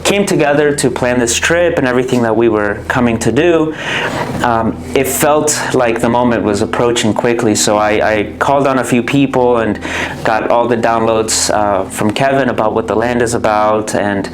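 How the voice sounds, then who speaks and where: A man's voice: medium pitch, moderate pace, background noise. A man, a guest giving a reverent, thoughtful faith testimony. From Colombia. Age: 20s.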